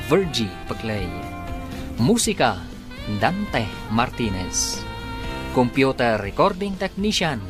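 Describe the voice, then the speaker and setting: Lively Ilocano radio drama announcer, a man. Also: mid-range voice. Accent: Filipino, Ilocano. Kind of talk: scripted radio drama narration. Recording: music behind the voice. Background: radio serial music.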